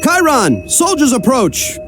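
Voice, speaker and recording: Male scout, alert, urgent, extremely high pitch, lively, video game cutscene, music behind. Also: slow pace, heavily acted.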